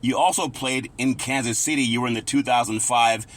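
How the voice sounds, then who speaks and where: A man's voice: medium pitch, fairly even intonation, fairly quick, a bit bright. A man hosting a sports talk show, asking a guest questions and giving frequent short affirmations. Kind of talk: radio interview.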